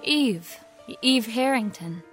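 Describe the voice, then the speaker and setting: An actress playing a humble young theater fan in a radio drama, speaking in an earnest, deferential, hesitant way. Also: female voice, on the high side, lively intonation, slow, soft background music. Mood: shy, adoring.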